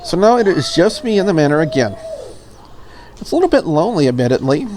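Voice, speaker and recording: A man's voice: fairly high pitch, big pitch swings, fast, background noise. A man voicing a polite, curious, slightly lonely maid in a visual novel, with formal and prim phrasing. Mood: wistful.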